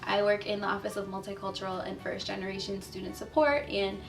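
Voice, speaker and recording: Woman, campus support staff, gravelly edge, conversational, slightly distant mic. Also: medium pitch, monotone, quick pace, faint music behind. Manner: conversational, explains with examples. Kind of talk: documentary interview.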